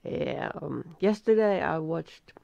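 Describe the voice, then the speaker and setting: A tired middle-aged woman with a Finnish accent reads aloud slowly from her desk, stumbling and restarting on hard English words. Her voice sits a little low, and the webcam audio is dull and lo-fi. The room sounds dry and close. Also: expressive, creaky, darkish tone, no echo. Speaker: vlogger reading aloud. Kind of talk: casual self-recorded reading practice.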